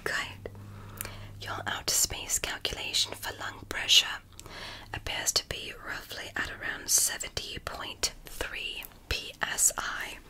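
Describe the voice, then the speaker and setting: A woman whispering as a gentle alien doctor. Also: low-quality recording. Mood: soothing. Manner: soft, slow, praising and reassuring. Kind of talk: ASMR roleplay.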